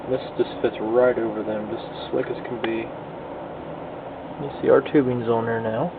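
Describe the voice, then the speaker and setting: A folksy, plain-spoken man giving a casual hands-on tutorial at a home workbench, picked up by the camera's microphone. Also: mid-range voice. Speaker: hobbyist radio restorer giving a demonstration. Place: indoor home workshop bench. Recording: muffled phone-like audio, loud room hum.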